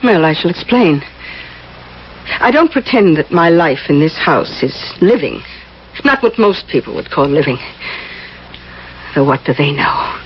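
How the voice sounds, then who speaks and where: Haughty rich shut-in lady, lowish voice, breathy and halting, old radio play, muffled and hissy. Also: sing-song. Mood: superior, dreamy. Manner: haughty, languid, halting with dramatic pauses. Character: imperious, eccentric, wealthy reclusive woman, ailing and self-absorbed. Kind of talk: 1980s radio drama acting.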